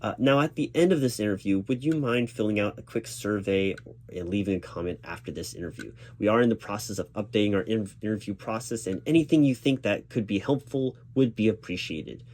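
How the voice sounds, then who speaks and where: A man doing a spoken ASMR job interview roleplay as a reassuring, gently professional interviewer who speaks a little hesitantly. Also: lowish voice, brisk. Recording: clear background noise of keyboard typing. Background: computer keyboard typing.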